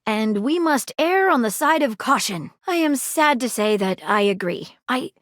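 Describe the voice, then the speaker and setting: A prim and eager female detective from a video game. The voice sits high and moves in big, lively swings, recorded very dry. The delivery is articulate and theatrical, with precise, old-fashioned turns of phrase. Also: measured pace, no echo. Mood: anxious, cautious. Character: prim, eager, dramatic woman detective, a datable household object. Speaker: voice actor in a dating simulator game. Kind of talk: video game character dialogue.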